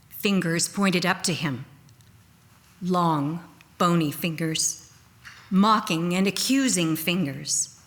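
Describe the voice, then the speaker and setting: Very slow, solemn woman reading. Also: fairly low pitch. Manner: deliberate, weighty phrasing. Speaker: reader at a church service. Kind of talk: solemn dramatic scripted reading.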